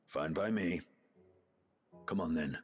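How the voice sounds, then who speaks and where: An actor playing a gruff, restless fantasy game warrior with a dry, wry manner. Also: male voice, extremely low pitch, takes it slowly. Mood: offhand. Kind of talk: dramatic video game acting.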